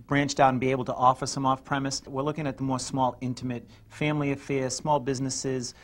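A middle-aged man, a chef and restaurant owner, answering interview questions in his restaurant in a relaxed way, with many fillers as he lists dishes. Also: mid-range voice, a little fast. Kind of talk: on-camera interview and cooking demo. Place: restaurant dining room and kitchen.

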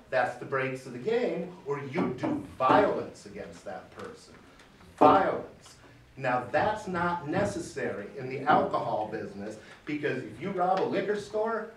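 Loud, emphatic man in echoey hall. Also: fairly high pitch, slight background noise. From Michigan. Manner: emphatic, gesturing, pounds the table. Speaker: public hearing witness. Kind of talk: unscripted testimony. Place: large wood-panelled hearing room. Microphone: far camera. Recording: echoey room, camera far off.

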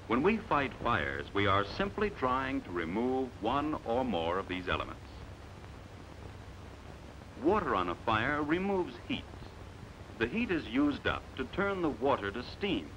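An American man narrating a scripted 1950s training film in a measured, authoritative, emphatic manner, on a vintage soundtrack. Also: mid-range voice, a bit bright, slight background noise, poor audio.

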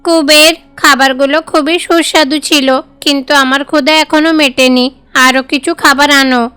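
Hungry child god for cartoon, voiced by a woman: high-pitched, dry studio sound, confident and demanding. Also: fairly even intonation, normal speed, bright, forceful tone, dry recording, soft background music. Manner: demanding, self-assured. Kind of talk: cartoon fairy-tale voice acting.

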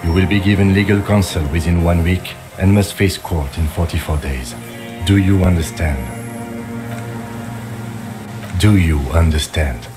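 Actor as low-voiced, composed police lieutenant. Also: male voice, moderate pace, over music, lightly acted. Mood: stern, formal. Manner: formal, procedural, matter-of-fact. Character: composed, dutiful male police lieutenant, formal and dry.

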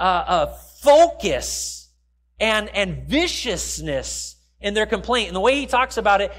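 A man's voice: high-pitched, fairly bright tone. A middle-aged American man giving a sermon as a pastor, conversational and explanatory, often stepping aside to address his listeners. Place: large church sanctuary, speaking from the stage.

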